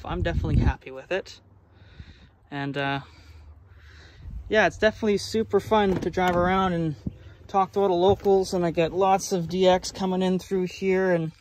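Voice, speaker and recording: A man with a fairly high voice talks through his gear in a casual, informal vlog style. He sounds enthusiastic, like a hobbyist showing things to viewers. There is clear vehicle and ambient noise behind him as he records in and around a truck. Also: a little mellow. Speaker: vlogger, CB radio hobbyist.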